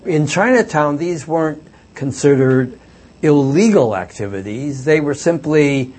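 A man's voice: on the high side, expressive, unhurried, a little mellow. An older man, an author and former reporter, telling stories at a book talk in a reflective, hesitant way with many fillers. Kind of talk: book talk with audience questions.

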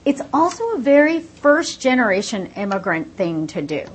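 A woman's voice: fairly high pitch, sing-song, moderate pace, slightly rough. A woman, born in India and raised in the United States, explaining her research fluently in a casual interview with many "like" fillers.

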